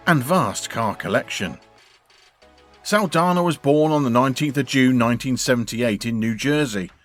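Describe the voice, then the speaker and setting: A man narrating a scripted video in an even, read-out delivery. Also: mid-range voice. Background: faint background music.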